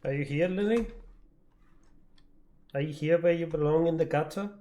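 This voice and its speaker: A man's voice: fairly high pitch, normal speed, dark tone. Sarcastic male game streamer. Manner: sarcastic, muttering asides, swearing. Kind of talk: casual live gameplay commentary. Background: faint game music.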